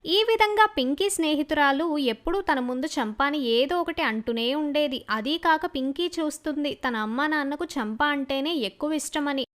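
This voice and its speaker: Woman, high voice, storyteller, scripted children's narration. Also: moderate pace, fairly bright tone.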